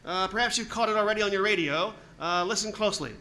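A man playing an excited radio reporter at the scene in 1930s radio style, hesitant and stammering with urgency. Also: high-pitched, fast, slight background noise. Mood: hushed urgency. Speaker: actor in a radio drama recreation.

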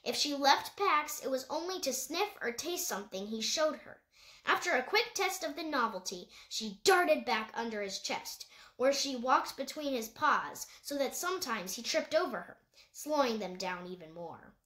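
A woman's voice: high-pitched, sharp tone, roomy sound. A woman reading a children's animal novel aloud as scripted audiobook narration. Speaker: audiobook reader.

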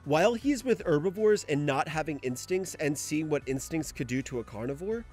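A man's voice: a little high, expressive, normal speed, a little mellow. A young man giving casual scripted manga commentary as a video essay host, informal, sweary and emphatic. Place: voice-over. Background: faint music.

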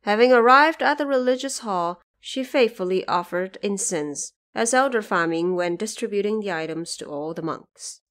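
Woman doing storybook narration, medium pitch, reading from a script. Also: animated, normal speed, few pauses, fairly bright tone.

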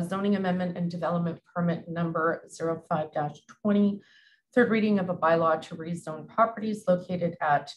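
A woman with a Canadian accent, chairing a council meeting as mayor, reading bylaw motions in a brisk, procedural way over a video call. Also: fairly low pitch, a little hiss or hum, rough recording. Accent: Canadian English.

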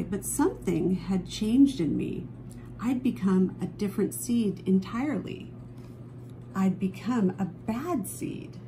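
A woman reading a children's picture book aloud expressively, with dramatic drawn-out emphasis on key phrases. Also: medium pitch, warm tone, a little hiss or hum, poor audio. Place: indoors at a table, book held before camera.

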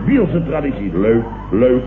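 Pompous middle-aged boss, radio comedy, very animated, blustering, repetitive, tinny old recording. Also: male voice, mid-range voice, echoey room. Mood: defensive, jovial. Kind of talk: 1970s Dutch radio comedy acting.

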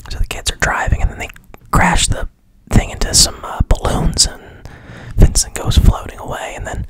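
A man's voice: moderate pace, fairly bright tone. Whispering man retelling a film. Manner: rambling, profane, hesitant. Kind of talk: whispered movie recap.

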